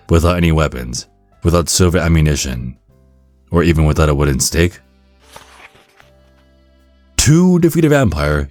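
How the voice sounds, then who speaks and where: A man with a very low voice narrates a scripted ASMR guide at a measured, wry remove. The recording is very dry, with no room sound, and music plays faintly behind him. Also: darkish tone, dry recording.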